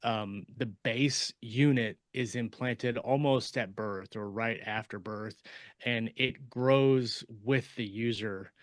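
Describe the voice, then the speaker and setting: A man talking casually on a podcast, explaining in a halting way and often rephrasing as he goes. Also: medium pitch, dry recording. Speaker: podcast panelist and fiction writer.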